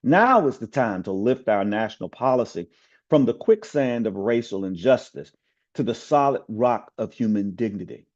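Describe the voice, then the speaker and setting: A man from the community reciting a written speech with oratorical emphasis, drawn-out words and falling phrase endings, in a dull, slightly lo-fi recording. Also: medium pitch, animated. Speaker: community member reading aloud.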